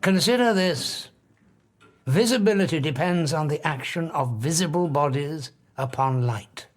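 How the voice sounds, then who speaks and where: Obsessive grandiose scientist, audiobook drama. Emphatic, deliberate lecturing with dramatic pauses, slightly high voice. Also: male voice. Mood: intense explaining. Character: obsessive, grandiose male scientist, arrogant and intense.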